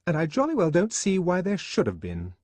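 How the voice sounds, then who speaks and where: A man's voice: high voice, huge pitch swings, a little fast, a little mellow, no room sound, pitched above the performer's own voice, full character voice. A man voicing a young woman who answers back defiantly and protests.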